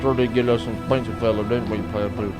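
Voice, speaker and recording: A folksy, dim henchman, played by a man in a comic audio adventure. He sounds smugly pleased in a flat, unvarying tone, with music clearly underneath. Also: lowish voice, fast talker, close to an everyday voice. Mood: gloating.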